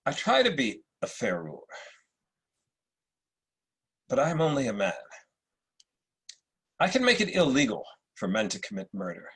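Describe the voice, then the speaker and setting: A man's voice: mid-range voice, huge pitch swings, normal speed, long pauses, fairly bright tone, husky, full character voice. A man playing a supercilious, world-weary Roman emperor in a stately, condescending, old-movie style at a table read. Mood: wry, weary.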